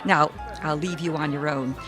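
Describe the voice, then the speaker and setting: An actress playing a brisk, polished publishing publicist in a radio mystery, businesslike and diplomatic. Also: female voice, sits low, fairly even intonation, music in the background, natural voice. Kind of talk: old-time radio mystery acting.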